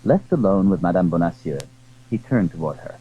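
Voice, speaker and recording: A man narrating a period adventure story in a measured, literary, dramatic style. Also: sits low, lively intonation, phone-quality sound, a little hiss or hum.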